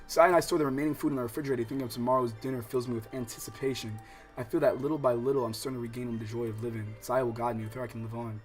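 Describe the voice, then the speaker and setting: A man's voice: medium pitch, racing, soft background music. A man livestreaming a visual novel, switching between casual, slangy, exclamatory reactions and reading the game text aloud. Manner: animated, loud exclamatory outbursts. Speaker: streamer playing a visual novel.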